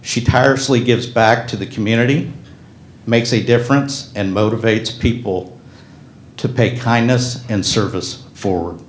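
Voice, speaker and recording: A man's voice: fairly low pitch, moderate pace, slight background noise. A man, a county supervisor, reading a formal resolution aloud at a public meeting and adding brief spoken asides. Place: county board meeting room. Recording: echoey meeting chamber.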